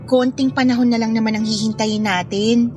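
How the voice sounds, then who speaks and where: An actress plays a playful, affectionate young woman in love in a Filipino radio drama. Her voice sits fairly high, eager and caring. Music plays clearly underneath. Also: steady tone, a little fast, close to an everyday voice. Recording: music bed under dialogue.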